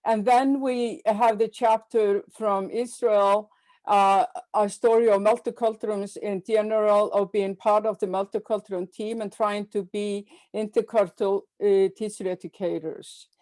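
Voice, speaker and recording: Mellow-voiced woman presenting a webinar. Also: mid-range voice, fairly even intonation. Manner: halting, frequent fillers and repeats. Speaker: webinar presenter introducing book chapters.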